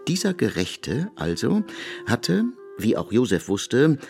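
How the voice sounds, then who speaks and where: A man narrating scripted literary prose for a radio production in an expressive, elevated storytelling manner, recorded in a studio. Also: mid-range voice, slow, gravelly, soft background music.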